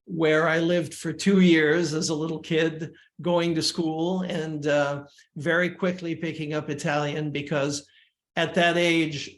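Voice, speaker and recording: An older man from Uruguay, a musician guest, talking in a measured, reflective way with frequent restarts. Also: fairly high pitch, level tone. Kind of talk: casual interview talk. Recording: echoey room.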